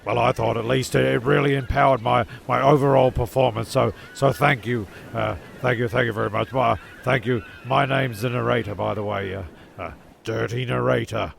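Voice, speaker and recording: A man's voice: mid-range voice, steady tone, normal speed, vocal fry, slight background noise. A man playing a flustered, sweary narrator in a comedy audio drama, stammering and repeating himself. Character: flustered, rambling, sweary male narrator, easily exasperated.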